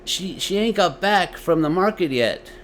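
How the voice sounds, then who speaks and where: Man acting frightened country boy, pitched up. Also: expressive, a bit bright, a little hiss or hum. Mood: scared, tearful. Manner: childish rural grammar, halting. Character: frightened young boy, proud of himself, in a story. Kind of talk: dramatized storytelling.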